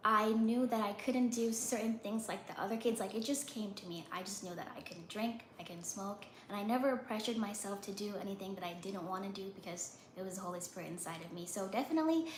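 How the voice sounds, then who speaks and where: A soft-spoken young woman from New York talks as an interview guest. Her voice is quiet and mid-pitched. The room is echoey, with faint ambient noise behind her. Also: quick pace. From United States (New York). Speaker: guest, podcaster.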